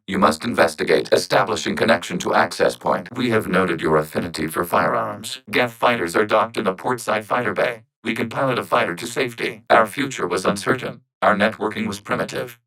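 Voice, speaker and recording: An actor playing a calm, logical machine intelligence in a video game, speaking in a measured, formal, precise way with robotic processing on his voice. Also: on the low side, creaky, poor audio. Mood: flat, analytical. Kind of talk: scripted video game character acting.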